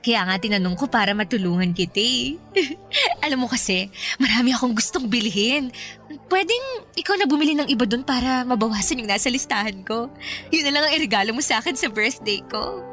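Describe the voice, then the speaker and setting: Casting: pouty, materialistic young Filipina girlfriend, high and very animated, music underneath. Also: brisk. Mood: coy, demanding. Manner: coy, whiny wheedling. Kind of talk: Filipino radio drama acting. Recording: music behind the voice.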